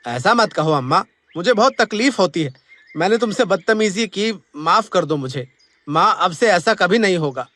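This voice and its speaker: A man's voice: sits high, expressive, normal speed, fairly bright tone. A man playing a two-faced, wheedling grown son in a Hindi cartoon story, with exaggerated pleading delivery.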